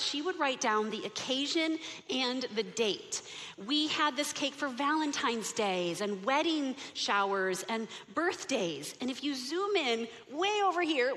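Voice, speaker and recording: A woman pastor giving a sermon to her congregation, telling personal stories in an animated way. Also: high voice. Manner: warm storytelling, animated when excited. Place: church sanctuary. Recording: long hall reverb.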